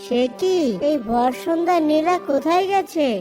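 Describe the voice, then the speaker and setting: A performer voicing a gentle, caring village father, affectionate and reassuring, in a cartoon story. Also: very high-pitched, normal speed. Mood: concerned. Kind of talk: children's cartoon story acting. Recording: music behind the voice.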